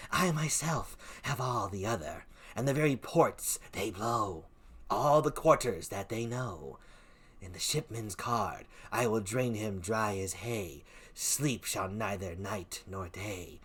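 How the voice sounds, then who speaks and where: Eerie weird sisters , put on by a solo reader, chanting their curses slowly with wild leaps in pitch and breathy, husky whispers. The storybook witches sound sly and gleeful. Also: a bit bright, exaggerated voice. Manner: sing-song chanting, dropping to whispers. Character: cackling weird sister witches plotting spells.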